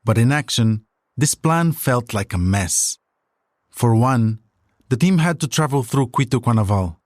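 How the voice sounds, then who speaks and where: A man's voice: mid-range voice, lively intonation, measured pace, no room sound, studio quality. A man narrating a scripted audio documentary as its host.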